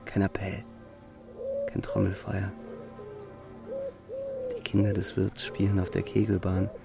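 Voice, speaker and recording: Casting: introspective young soldier, lowish creaky voice, literary monologue over music. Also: male voice, completely flat delivery, measured pace, heavily acted. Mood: quiet relief. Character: war-weary young soldier's brooding inner voice. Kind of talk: literary radio drama monologue.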